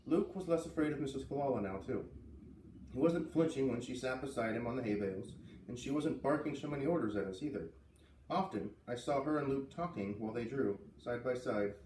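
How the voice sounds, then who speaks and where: A man with a medium-pitched voice reads a children's story aloud. His delivery is expressive, with dramatic pauses. The recording is roomy and slightly distant. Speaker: storybook reader. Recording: roomy, slightly distant, faint background noise.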